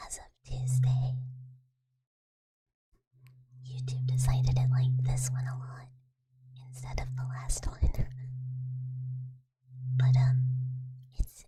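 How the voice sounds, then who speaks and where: A woman ASMR streamer softly whispering and rambling while she chats with her live audience about her microphone setup. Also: a bass voice, completely flat delivery, slow, long pauses, heavy background noise. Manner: soft whispering, rambling with many fillers. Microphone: USB desk mic.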